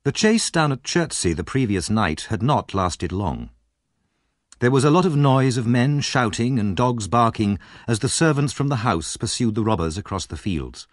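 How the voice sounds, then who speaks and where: A man narrating a scripted story as an audiobook reader, measured and dramatic. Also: mid-range voice, lively intonation, no echo, studio quality.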